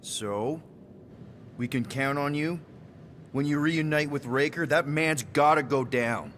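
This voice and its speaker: A man's voice: fairly high pitch, slow pace, a bit bright, slight background noise. A man playing a young, exasperated space-outlaw leader in a video game, talking in a casual, wry way. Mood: wary. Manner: informal, wry. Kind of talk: conversational video game acting.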